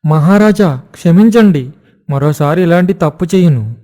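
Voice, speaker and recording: A man playing a sly, dishonest villager in an animated moral story, feigning innocence and nervously evading. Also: on the high side, moderate pace, lightly acted. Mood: pleading.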